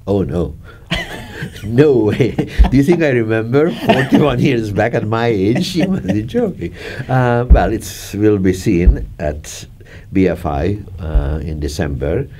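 An older man with a Hungarian accent recalls his work with a chuckle, his phrases halting and looping back. His voice sits very low and sounds warm and mellow. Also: animated. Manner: chatty, laughing, gesturing, halting. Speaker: film director. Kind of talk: reminiscing interview. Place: dark studio, seated in armchair.

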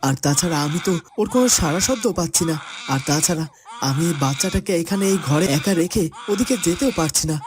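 A man's voice: fairly high pitch, lively intonation, quick pace, noisy background, close to an everyday voice. A man playing a flustered, exasperated baby-minder in a fairy-tale cartoon, fretting, complaining and calling out. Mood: flustered, fretful. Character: flustered, exasperated adult minding a crying hungry baby.

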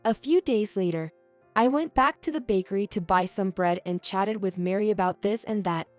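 A woman narrating a heartfelt story in a calm, even way. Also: mid-range voice, normal speed, soft background music.

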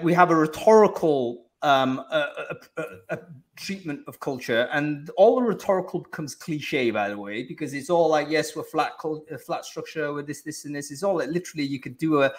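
A man's voice: on the high side, moderate pace. A man in his forties hosting a video-call panel discussion, laughing easily and steering the talk with questions. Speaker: host. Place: home room, video call. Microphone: headset.

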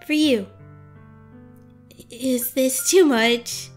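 A woman's voice: sits high, drawn-out words, soft background music. A young woman voicing an awkward, flustered teenage superheroine in a comic dub, stammering and gushing. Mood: nervous, flustered. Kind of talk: animated-style comic dub acting.

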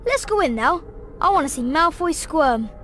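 A performer voicing an eager, excitable schoolboy wizard in a video game, chummy and urging. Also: high voice, moderate pace, a little hiss or hum, exaggerated voice. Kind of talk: video game character dialogue.

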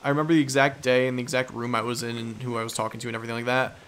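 A man's voice: medium pitch, little pitch movement, racing, fairly bright tone, a little hiss or hum. A man hosting a let's play with casual, goofy, rambling gameplay commentary. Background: video game music.

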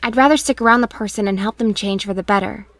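A woman voicing a quick-tempered teenage girl who swings between flustered and sarcastic, in a comic dub. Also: mid-range voice, no room sound, lightly acted. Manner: flustered, then sharp and sarcastic. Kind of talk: fan comic dub acting.

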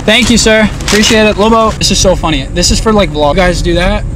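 High-pitched young man, hyped and slangy. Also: fast, background noise. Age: young adult. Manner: slangy, hyped bragging as a would-be rapper. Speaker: prankster vlogger. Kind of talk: drive-thru prank vlog, casual banter. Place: in a car at drive-thru windows, outdoors. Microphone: camera mic in or beside the car. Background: music, car and vehicle sounds.

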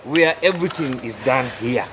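A man's voice: medium pitch, moderate pace, a crowd in the background. A middle-aged man from Kenya with a Kenyan English accent, interviewed about his business, speaking earnestly with hesitant restarts, on a lapel mic. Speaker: interviewee, salon owner and manufacturer. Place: factory and salon, indoors.

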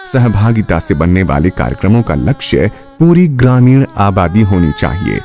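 Deep-voiced, lively male documentary narrator. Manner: formal, measured explaining. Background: background music.

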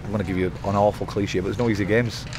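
A man in his thirties speaks as a football manager after a match, his low voice running fast and looping through fillers and repeated words. He stands pitchside under floodlights at night, close to a handheld mic. Loud outdoor noise sits behind him. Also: steady tone. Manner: rambling, frequent restarts and fillers. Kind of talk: post-match interview.

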